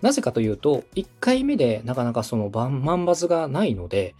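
A man from Osaka hosts as a radio director giving advice. His voice is husky and moves freely in pitch. He explains things politely, one step at a time, in a conversational coaching style, with faint music behind him. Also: sing-song, brisk, darkish tone. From Osaka, Japan.

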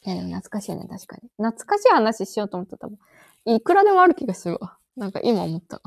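A woman's voice: medium pitch, sing-song, quick pace, vocal fry, no echo, studio quality. A woman in her thirties chatting casually as a podcast co-host. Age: 30s. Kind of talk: casual chat.

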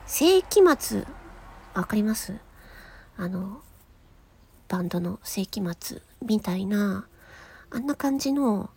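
A woman chatting casually on her own as a podcast host, in a giggly, chatty manner that trails into breathy asides. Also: medium pitch, dark tone. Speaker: podcast host and amateur voice actress. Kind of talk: casual solo chat.

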